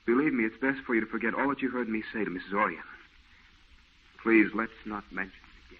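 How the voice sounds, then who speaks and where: A withdrawn, brooding artist in an old radio play. His voice is lowish and a bit husky, terse and sombre. The sound is muffled and narrow like an aged broadcast. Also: fast. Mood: grave, pleading. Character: brooding, withdrawn male painter, troubled and evasive. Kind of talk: old-time radio drama acting.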